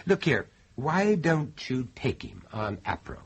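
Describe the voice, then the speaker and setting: An actor playing a genteel British art dealer who tells a dreadful tale in a radio horror play, polite, hesitant and dramatic. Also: male voice, medium pitch, sing-song, takes it slowly, vocal fry, slightly rough, dry recording, exaggerated voice. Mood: genial, courteous. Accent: British English.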